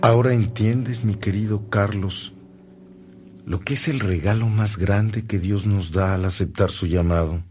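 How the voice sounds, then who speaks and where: An older actor playing a tender, fervent old priest in a radio drama, solemn, fatherly and measured. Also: fairly low pitch, steady tone, close to an everyday voice. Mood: solemn, earnest. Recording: loud music behind the voice.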